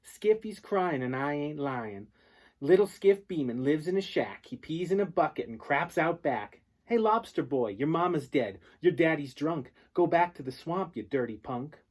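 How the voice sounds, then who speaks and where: A man's voice: fairly high pitch, lively intonation, normal speed, darkish tone, voice pushed up. A man reading aloud, voicing a taunting rich boy who jeers in a sing-song way. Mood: jeering.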